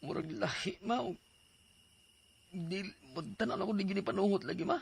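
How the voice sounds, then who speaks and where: A man acting an ailing, reluctant eighteen-year-old son with a Cebuano accent in a radio drama, husky and hesitant as he complains. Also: high-pitched, moderate pace, a little hiss or hum. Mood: pained, complaining.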